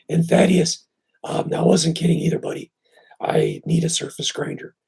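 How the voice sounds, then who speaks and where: A man with a hoarse voice still weak after surgery, chatting casually and rambling on a live stream about his knife shop. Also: extremely low pitch, darkish tone. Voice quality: very raspy, breaking into breathy near-whisper. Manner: rambling, easygoing, filler-heavy.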